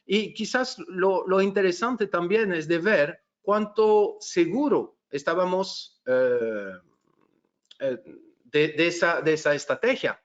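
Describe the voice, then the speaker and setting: French-accented man, halting webinar talk. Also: high voice, animated. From France. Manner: hesitant, many "eh" fillers and restarts. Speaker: webinar presenter. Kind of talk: webinar presentation. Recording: dull lo-fi webinar audio.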